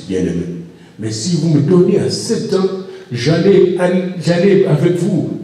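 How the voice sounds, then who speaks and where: Male preacher, high voice with big swings, emphatic sermon delivery, recorded in a very echoey space. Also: mellow. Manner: emphatic delivery with repeated exhortations and addresses to the congregation. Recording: echoey room, distant microphone.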